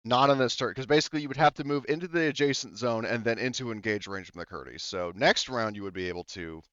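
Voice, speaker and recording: A man's voice: mid-range voice, fast, fairly bright tone, clean tone, dry recording, dull audio. A man acting as game master in a tabletop game, chatty and loose, with lots of fillers and the odd swear. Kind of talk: running a tabletop game, casual.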